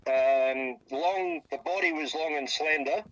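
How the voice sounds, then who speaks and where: An Australian man from South Australia, speaking over a phone on speaker and describing what he saw in a halting way, often repeating his words. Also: on the high side, fairly bright tone, lo-fi sound. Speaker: interviewee, eyewitness. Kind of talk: phone interview.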